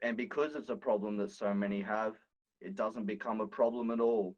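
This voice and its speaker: A man's voice: fairly low pitch, fairly even intonation, a little fast, a little mellow, highs cut off. A man on a casual podcast, joking and swearing, who also reads his poetry. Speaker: podcast guest reading own poem.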